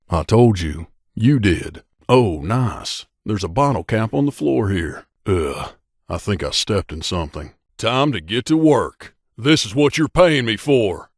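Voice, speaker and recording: A man playing a grizzled, guarded veteran mercenary in a video game, with a gruff, curt, world-weary manner. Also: lowish voice, no echo, studio quality. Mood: irritable. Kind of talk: video game companion dialogue.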